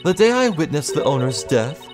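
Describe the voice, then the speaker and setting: A man voicing a solemn, determined woman in a video game, speaking formally and deliberately. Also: fairly high pitch, very animated, over music, voice pushed up. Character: solemn, determined woman writer seeking the truth.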